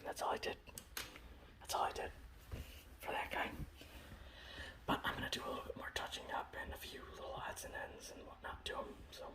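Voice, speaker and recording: A man softly whispering in a casual, rambling ASMR style, breathy and close to the microphone, showing off a hobby. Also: brisk, long pauses. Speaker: ASMR video host. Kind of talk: ASMR, informal hobby show-and-tell.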